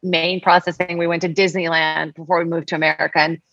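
Comedian woman, lowish husky voice, animated storyteller, dry dull sound. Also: fast talker, dry recording. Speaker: comedian guest. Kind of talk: casual podcast chat.

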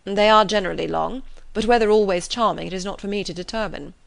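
A woman reads a proud, reserved young gentleman in an audiobook, a little lower and quieter than her narration. He speaks in cool, measured sentences with a close, dry sound. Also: creaky, no echo, close to an everyday voice.

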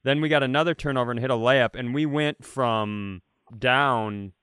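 A man's voice: mid-range voice, moderate pace, a bit bright, clean tone, dry recording, studio quality. A man co-hosting a podcast, telling casual comic stories in a competitive, swearing way. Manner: profane, competitive storyteller. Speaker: podcast co-host.

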